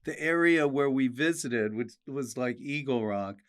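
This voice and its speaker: A man talks in a smooth, clear voice of medium pitch. He hosts in a loose, casual way, with wry joking and quick interjections. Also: a bit bright. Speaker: podcast host. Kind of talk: casual chat.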